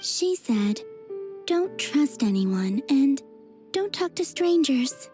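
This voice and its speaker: A woman playing a gentle, naive young traveller in a video game, with a serene, matter-of-fact manner. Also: high voice, big pitch swings, slow pace, soft background music, heavily acted. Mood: earnest.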